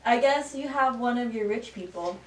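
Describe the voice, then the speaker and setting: A woman's voice: on the high side, expressive, normal speed, natural voice. A woman playing a self-serving, pushy male president in an amateur satire. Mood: insistent. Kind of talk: amateur student satire acting. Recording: roomy, distant mic, background noise.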